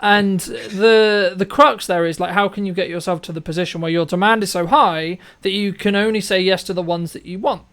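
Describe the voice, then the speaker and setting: A man's voice: high-pitched, fairly even intonation, a little fast, hardly any pauses, fairly bright tone, clean tone. A man hosting a conversational business interview, speaking emphatically with rhetorical questions and frequent checks for agreement. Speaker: interview host and filmmaker.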